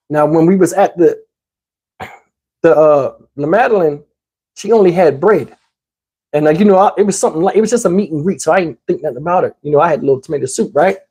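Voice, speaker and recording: A man with a husky, slightly rough voice tells a personal story as the host of his own channel. His delivery is casual and slangy. He animatedly plays out both sides of conversations for comic effect. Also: sits high. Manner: animated, slangy, acts out dialogue.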